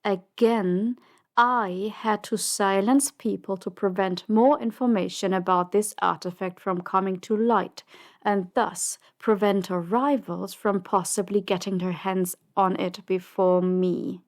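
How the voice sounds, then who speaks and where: A woman playing a cold, ruthless crime boss in an audio drama, commanding and dismissive in a measured way. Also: mid-range voice, fairly even intonation, close to an everyday voice. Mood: stern, interrogating. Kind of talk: audio drama roleplay acting.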